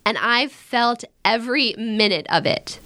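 Outspoken young American sister, high voice, swooping intonation, blunt wisecracks, modern audio drama. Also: female voice, unhurried. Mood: eager, exasperated. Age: young adult. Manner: animated, blunt, casual banter.

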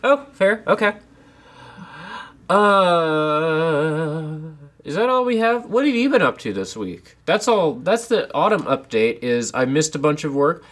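A young man co-hosting a casual podcast from a home basement, a bit congested, animated and self-deprecating. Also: on the high side, measured pace. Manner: animated, self-deprecating, sips tea while talking. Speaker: podcast co-host. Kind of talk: casual chat.

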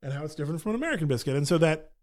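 A man's voice: a little high, big pitch swings, rapid-fire, darkish tone. A man co-hosting a casual podcast, explaining ideas at length while hedging that he is no expert. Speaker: podcast co-host. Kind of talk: casual chat.

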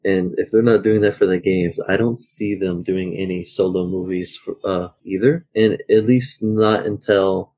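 A man's voice: low voice, fairly even intonation, normal speed, hardly any pauses, dark tone. A man co-hosting a casual podcast, talking in a thoughtful, hesitant way. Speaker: podcast co-host.

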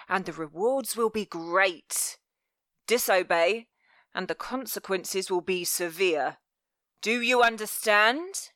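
Woman voicing a slow, cruel male guild master. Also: medium pitch, fairly bright tone, no echo, clean studio sound. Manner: commanding, deliberate, mock-tender menace. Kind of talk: dramatic audiobook character voice.

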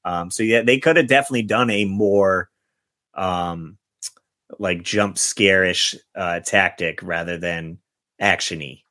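A man's voice: low-pitched, unhurried, sharp tone, no room sound, studio quality. A man hosting a podcast, chatting casually and reading out film reviews. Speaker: podcast host.

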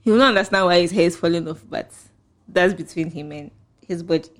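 A woman's voice: lowish voice, quick pace. A young woman with a Ghanaian accent, casually chatting as a podcast co-host, reading out and reacting to listener posts with frequent laughter. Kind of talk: casual chat.

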